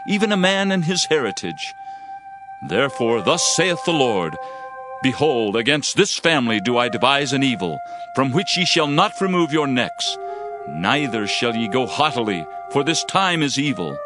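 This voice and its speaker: A man's voice: mid-range voice, close to an everyday voice. A man playing a grave Hebrew prophet who proclaims judgment and lament in solemn, declamatory scripture phrasing for a dramatized audio Bible. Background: background music.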